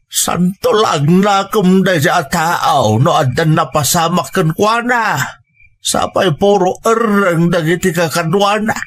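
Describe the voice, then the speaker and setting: A man's voice: high voice, slow pace, fairly bright tone. A man playing an exasperated, disapproving family elder in an audio drama. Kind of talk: Ilocano audio drama acting.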